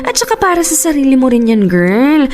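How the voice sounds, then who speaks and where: A woman's voice: high-pitched, very animated, a little fast, a little mellow. An actress with a Filipino accent playing a blunt, supportive female friend in a Tagalog radio drama. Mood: urging. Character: blunt, supportive, outspoken girlfriend giving advice. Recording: music under the voice.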